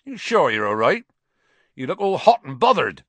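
Man acting huge gamekeeper, gruff, kindly, blunt, homely, lively intonation, storybook character. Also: on the high side, normal speed, no echo, voice pushed up. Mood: concerned.

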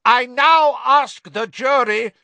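A man's voice: medium pitch, big pitch swings, a little slow, dry recording, pitched above the performer's own voice. Man playing a bellowing, stern older judge, raised voice. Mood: bellowing severity. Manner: loud, formal, bellowing pronouncements. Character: stern, hate-filled older male magical judge. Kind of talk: dramatised audiobook character voice.

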